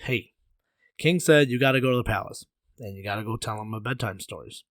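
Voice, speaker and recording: A man's voice: on the low side, fairly quick, no echo, studio quality, close to an everyday voice. A man voicing a wary, easygoing servant of a king in a folktale, slangy and matter-of-fact.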